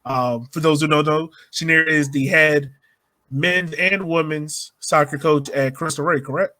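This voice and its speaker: Guy cohosting a sports podcast, higher bright voice, dry close sound, slangy and informal. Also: fairly even intonation, measured pace, no echo. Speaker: podcast host. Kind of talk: casual sports podcast chat.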